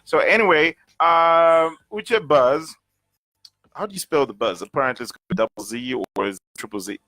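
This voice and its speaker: Bright, teasing male radio host. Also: on the high side, lively intonation, normal speed, dry recording. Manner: playful, teasing, lots of "you know". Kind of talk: breakfast radio banter.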